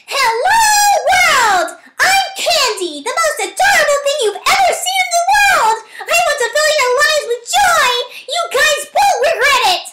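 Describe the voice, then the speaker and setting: A woman's voice: very high-pitched, sing-song, a little slow, hardly any pauses, sharp tone, roomy sound, pitched above the performer's own voice, heavily acted. A woman voicing a tiny, adorable cartoon fairy mascot with a childlike, squealing, whiny delivery. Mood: bubbly.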